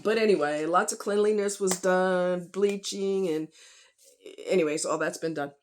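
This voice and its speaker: A woman's voice: lowish voice, normal speed. A woman talking casually in a rambling opinion vlog, conversational and informal, with slang and rhetorical questions. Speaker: vlogger.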